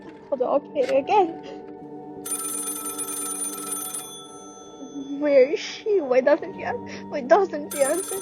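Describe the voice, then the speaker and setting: A woman's voice: sits high, animated, normal speed, mellow. A young woman playing a nervous, demanding invalid woman with plaintive, imperious outbursts in an amateur radio play. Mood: anxious. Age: young adult. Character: frantic, demanding invalid woman alone in bed. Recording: dramatic music laid under the voice.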